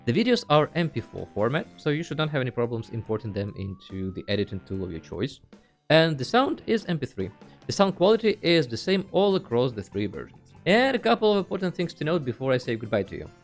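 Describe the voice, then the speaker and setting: A man's voice: medium pitch, big pitch swings, fast, faint music behind. A man hosting a casual video tutorial, talking through each step in a playful, dryly joking way. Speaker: host of a video game tutorial channel. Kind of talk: casual tutorial screen narration.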